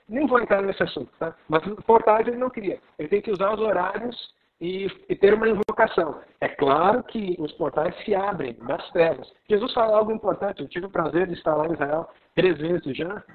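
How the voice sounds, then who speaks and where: A pastor from southern Brazil with a Gaúcho accent, teaching with excitement in a live video call conversation. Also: male voice, sits high, brisk, breathy edge. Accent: Gaúcho Brazilian Portuguese. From Rio Grande do Sul, Brazil. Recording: tinny phone-like line.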